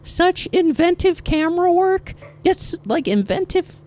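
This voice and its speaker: An excitable child termite in an improvised comedy podcast. The voice is extremely high and squeaky, pushed up into a falsetto. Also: male voice, unhurried, heavily acted. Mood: excited, indignant.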